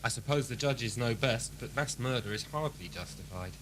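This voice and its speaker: A man's voice: medium pitch, normal speed, lightly acted. Man acting a stern ship commander, noisy tape. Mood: stern disapproval. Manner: formal, authoritative, dry. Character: weary, principled male prison ship commander. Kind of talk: audio drama acting. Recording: clearly noisy 1980s cassette, background ambience.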